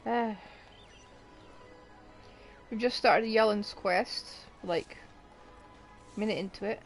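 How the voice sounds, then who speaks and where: A woman's voice: medium pitch, slow pace, faint music behind. A woman streamer giving casual live commentary on a game, with dry, wry asides.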